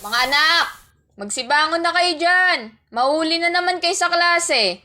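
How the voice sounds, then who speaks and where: High-voiced caring mother played by a woman. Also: animated, unhurried, pushed and bright, full character voice. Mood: brisk, caring. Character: caring, hard-pressed mother hurrying her children along. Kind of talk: amateur radio drama acting. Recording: faint noise, clicks and clatter.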